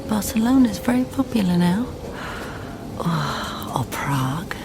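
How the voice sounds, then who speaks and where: A woman's voice: lowish voice, sing-song, unhurried, background noise. An actress playing a wry, guarded woman investigator in a naturalistic radio drama. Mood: wistful, brooding. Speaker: lead actor in a radio drama.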